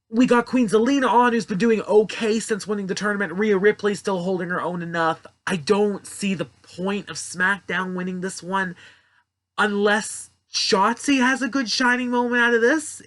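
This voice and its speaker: A man's voice: high voice, fairly bright tone. A young man talking casually and rambling through his predictions, correcting himself as he goes. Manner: rambling, offhand, self-correcting. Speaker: wrestling prediction vlogger. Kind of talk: casual solo commentary.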